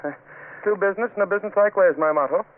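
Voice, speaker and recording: Guy acting as flustered fussy rental agent, high voice, stammery and repetitive, old radio drama, tinny with a bit of hiss. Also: close to an everyday voice. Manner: stammering, repetitive, hurried, nervously formal. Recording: thin, phone-like old radio recording, faint noise.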